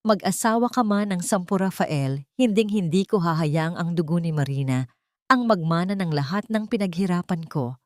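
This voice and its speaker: Manipulative wealthy matriarch for an audio story, female narrator acting: lowish female pitch, smooth condescension, Tagalog-English mix. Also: normal speed, a little mellow, no room sound, studio quality, natural voice. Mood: bitter, threatening. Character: scheming wealthy older mother, manipulative, falsely sweet, controlling.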